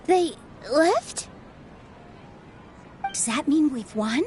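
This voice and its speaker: An actress voicing a gentle young female dancer and loyal friend in a game, soft-spoken and warm-hearted. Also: high-pitched, expressive, extremely slow, a little hiss or hum, heavily acted. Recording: game cutscene over a music score.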